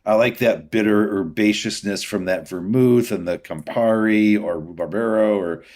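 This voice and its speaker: A man's voice: on the low side, measured pace, darkish tone. A man speaking as a guest distiller in a casual interview, conversational and enthusiastic as he describes things. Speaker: podcast guest, whiskey distiller.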